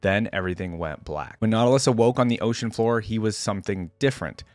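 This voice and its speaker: Man, lower-pitched voice, reaction host, informal narration and commentary, very dry recording. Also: fast talker, no echo. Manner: informal, conversational asides. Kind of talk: casual commentary while reading lore aloud.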